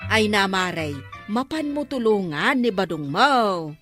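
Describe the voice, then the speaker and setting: A woman's voice: mid-range voice, animated, unhurried, fairly bright tone, soft background music. A woman with an Ilocano accent playing a grumbling, bossy village woman in a comedy drama.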